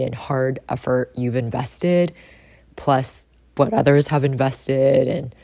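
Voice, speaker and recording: A woman in her thirties speaks in a low, husky voice, relaxed and chatty as she vlogs. The sound is dry and close, with no room around her. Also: no room sound. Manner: relaxed and chatty, with light laughs. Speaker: vlogger and trader. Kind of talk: casual vlog narration.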